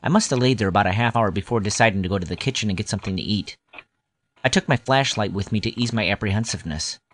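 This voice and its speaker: A man's voice: lowish voice, quick pace, a bit bright, a little hiss or hum, close to an everyday voice. A man playing a grown-up wryly recalling his boyhood in scripted first-person audio drama narration. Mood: wry, nostalgic. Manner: wry, nostalgic storytelling.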